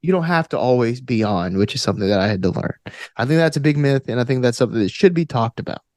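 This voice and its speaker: A man with a mid-pitched voice talks as a guest in a reflective interview. He is earnest and encouraging, circling back to repeat phrases for emphasis. The sound is close and dry. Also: lively intonation, fast talker, darkish tone, no echo. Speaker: podcast guest, actor and game master. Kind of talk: casual, reflective interview conversation.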